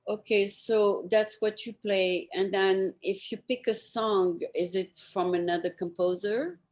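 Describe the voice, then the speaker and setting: A woman's voice: mid-range voice, steady tone, unhurried, a little mellow. A woman interviewing a guest in a casual, conversational way, asking questions and adding short remarks. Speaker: interviewer, host.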